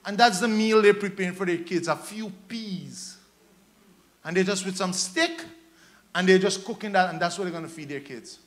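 A man's voice: high-pitched, normal speed. A man in his thirties from Guyana with a Guyanese accent, preaching a sermon into a handheld microphone with emphatic, repetitive exhortation. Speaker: pastor preaching. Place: church stage, indoors.

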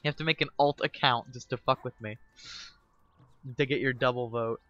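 A male game streamer in casual live chat with viewers, excitable and teasing, laughing often. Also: mid-range voice, moderate pace, no echo, highs cut off, soft background music.

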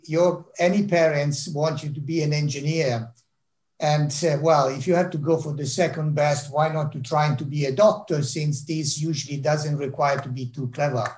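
Man, Italian accent, fairly high voice, dry wry humour, video call audio. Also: darkish tone. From Italy. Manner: dry self-deprecating humour. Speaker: heart surgeon giving a talk. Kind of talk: presentation over video call. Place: home office with bookshelves.

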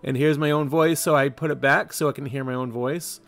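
A man's voice: medium pitch, steady tone, brisk, fairly bright tone, soft background music. A man hosting a casual step-by-step screen tutorial. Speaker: tutorial video host.

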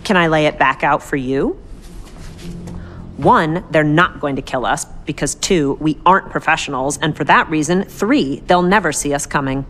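A woman's voice: low voice, normal speed, a bit bright, a little hiss or hum. An actress playing a cocky, persuasive young woman with a glib, sarcastic way of talking in a video game cutscene.

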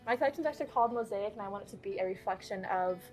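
A woman's voice: mid-range voice, a little mellow, soft background music. A young woman from Indiana, a design student, answering interview questions casually with many fillers. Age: young adult. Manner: quick, lots of fillers.

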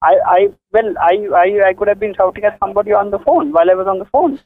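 A man's voice: sits high, fast talker. A man talking hesitantly and politely on a phone, repeating himself as he explains. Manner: hesitant, repetitive, polite protesting. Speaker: prank call victim. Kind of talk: phone conversation. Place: office. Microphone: telephone. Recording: tinny narrow phone line.

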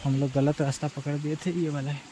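Man, from Chhattisgarh, travel vlogger, chatty, fairly high voice, outdoors, faint background noise. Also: level tone, fairly quick. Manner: chatty, addresses viewers as guys. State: tired. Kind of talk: casual vlog narration while riding and hiking. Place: outdoors on rural roads and forest trails.

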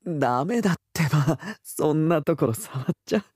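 A man playing a gentle, teasing young boyfriend in an intimate ASMR roleplay, with a soft, breathy, murmuring delivery. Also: on the high side, lively intonation, no room sound, clean studio sound. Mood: playful, content. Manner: slow, tender, murmuring, slipping into whispers. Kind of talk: intimate ASMR boyfriend roleplay in Japanese audio drama style.

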